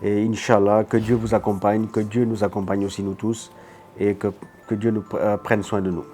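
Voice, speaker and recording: A man's voice: on the low side, level tone, moderate pace, warm tone, soft background music. A man with a Senegalese French accent, a guest in an interview, talking in an earnest, emphatic way and often repeating his words. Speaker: guest, motorbike shop owner. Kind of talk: interview answers.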